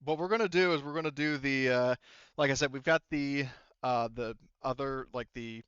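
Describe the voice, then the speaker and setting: Smooth-voiced man explaining game rules. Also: mid-range voice, fairly even intonation, moderate pace, fairly bright tone, no echo, muffled recording. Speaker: game master.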